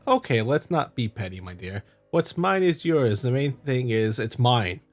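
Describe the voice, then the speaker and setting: A man playing a smooth, scheming visual novel husband who feigns loving concern, coaxing and patronizing. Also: mid-range voice, expressive, normal speed, soft background music, close to an everyday voice. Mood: smug, placating.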